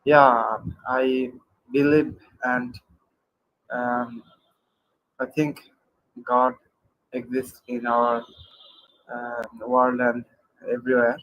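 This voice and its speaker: A man from Bangladesh calling into a live-stream debate, making a prepared case with many pauses and fillers. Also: mid-range voice, little pitch movement, highs cut off. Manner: hesitant, many fillers, reads prepared points. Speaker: caller. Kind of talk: prepared argument in a call-in debate. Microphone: computer mic on a video call.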